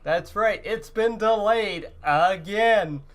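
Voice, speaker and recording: A man's voice: sits high, slow pace, fairly bright tone. A man co-hosting a podcast in a casual, chatty gaming-news style. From Canada. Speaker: podcast co-host.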